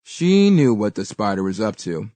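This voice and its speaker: Animated woman storyteller reading aloud. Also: very deep voice, moderate pace, dry recording. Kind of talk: expressive scripted children's story narration.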